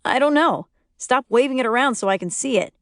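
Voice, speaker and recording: A woman's voice: high voice, lively intonation, brisk, a bit bright, no echo, clean studio sound, voice pushed up. A woman voicing a defensive, exasperated young husband arguing back.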